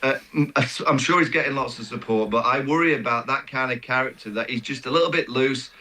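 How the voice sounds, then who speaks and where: Male sports pundit. Forceful, bright delivery, halting but emphatic conversational argument. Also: mid-range voice. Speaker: cricket pundit.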